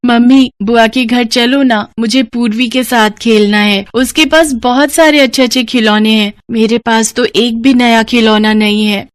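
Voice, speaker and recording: A woman voicing a pleading little girl in an animated moral story. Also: on the high side, measured pace, hardly any pauses, smooth, no echo, natural voice. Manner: wheedling.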